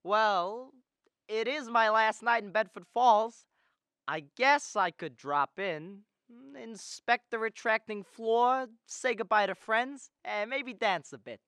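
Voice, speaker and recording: A young woman acting an earnest, restless young man in a radio drama, with halting, stammered hesitations. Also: fairly high pitch, fairly bright tone, clear, resonant tone, dry recording. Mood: warm. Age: young adult. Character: restless, eager young man dreaming of big things. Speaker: student actor.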